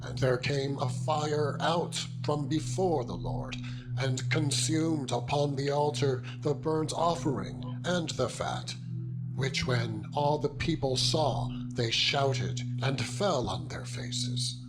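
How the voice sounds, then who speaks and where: A man reading scripture as a dramatized narration, in a solemn and measured manner. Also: medium pitch, animated, low-quality recording. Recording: music throughout. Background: loud instrumental music.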